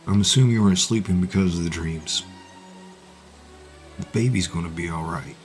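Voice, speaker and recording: A man's voice: low voice, normal speed, close to an everyday voice. An actor playing an earnest, anxious and protective young man in a fantasy audio drama, with a hesitant, emotive delivery. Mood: reassuring, teasing. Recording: music behind the voice.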